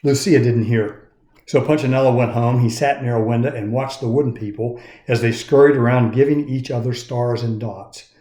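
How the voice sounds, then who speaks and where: A man in his sixties reading a children's story aloud in an expressive storytelling style. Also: medium pitch, moderate pace. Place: indoors in a woodworking shop. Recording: roomy, echoey sound.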